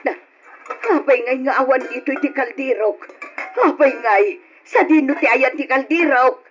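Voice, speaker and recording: A woman's voice: sits high, normal speed, soft background music, natural voice. An actress playing a confused, forgetful elderly mother, muttering and repeating herself with an Ilocano accent in an old radio drama. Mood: agitated fussing. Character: confused, forgetful elderly mother fussing about cats, pots and rice. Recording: muffled lo-fi radio drama recording.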